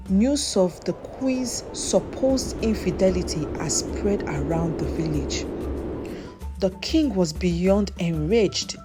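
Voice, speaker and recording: A woman storyteller reads a scripted folktale. Her intonation is highly animated, and music plays softly behind her.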